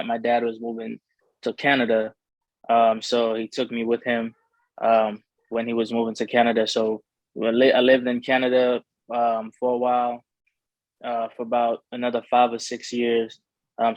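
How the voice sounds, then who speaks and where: Flat-toned young man from Cameroon. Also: medium pitch, no room sound, highs cut off. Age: young adult. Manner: rambling, many fillers and restarts. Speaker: rapper being interviewed. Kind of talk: casual interview talk.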